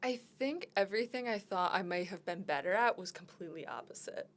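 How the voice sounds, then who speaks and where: A woman's voice: medium pitch, expressive, fairly quick, fairly bright tone. A young woman co-hosting a podcast in casual chat, giggly and self-deprecating. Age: young adult. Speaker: podcast co-host.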